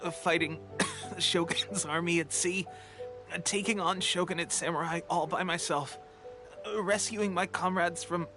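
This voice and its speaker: An actor playing a weakened, earnest young soldier in a video game, halting and weary, with breaks for breath. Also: male voice, high voice, steady tone, lightly acted. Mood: proud, weary. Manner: halting, hesitant, trailing pauses. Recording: game music under the voice.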